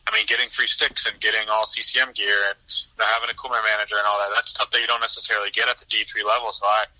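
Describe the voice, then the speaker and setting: Low, flat-voiced young man rambling. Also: racing, no room sound, rough recording. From Washington DC area, United States. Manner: rambling, many restarts and "you know" fillers. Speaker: podcast guest, pro hockey player. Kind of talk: casual interview answer.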